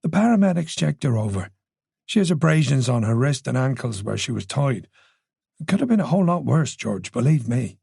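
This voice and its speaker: Narrator acting Irish senior detective man, creaky husky voice, soothing, steady, protective, audiobook. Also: mid-range voice, brisk, no echo, clean studio sound, full character voice. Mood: reassuring. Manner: steady, soothing, easy and unhesitating.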